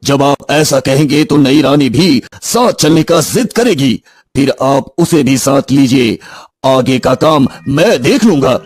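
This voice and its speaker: A man voicing a grave, wise royal sage and healer in a solemn, deliberate manner for an animated story. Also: medium pitch, lightly acted. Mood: measured, advising. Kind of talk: Hindi animated bedtime story acting.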